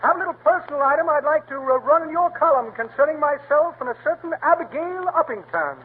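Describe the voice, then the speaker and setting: A man's voice: extremely high pitch, fast talker. An actor playing a pompous, blustering middle-aged con man in a 1940s radio comedy, with grandiloquent, punning speech. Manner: grandiloquent, punning, mock-tragic. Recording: tinny old radio broadcast.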